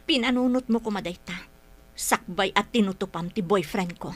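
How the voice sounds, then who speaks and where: A woman's voice: medium pitch, normal speed, natural voice. An actress with an Ilocano accent playing a hurt, furious young woman betrayed by her friend in a radio drama, accusing and tearful. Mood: bitter, wounded. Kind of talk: Filipino radio drama acting.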